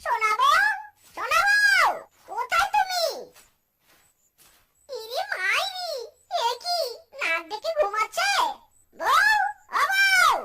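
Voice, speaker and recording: An actor voicing a whiny, excitable, henpecked husband in a children's fox cartoon, full of exclamations. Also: extremely high pitch, slow pace, long pauses, full character voice. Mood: calling, exasperated. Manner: exclamatory, with a repeated catchphrase. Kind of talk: exaggerated children's cartoon voice acting. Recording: very echoey, distant, music underneath.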